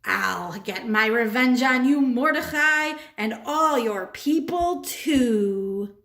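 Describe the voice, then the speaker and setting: Woman acting a scheming male villain, high pitch, slow pompous delivery, commanding, boastful, children's puppet show. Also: animated, fairly bright tone, full character voice. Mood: gloating. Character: boastful, vengeful male villain, a royal advisor.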